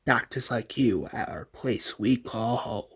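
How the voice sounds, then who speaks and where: A man's voice: mid-range voice, measured pace, fairly bright tone, slightly rough. Man playing a brusque, questioning soldier. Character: brusque male soldier from a militant group.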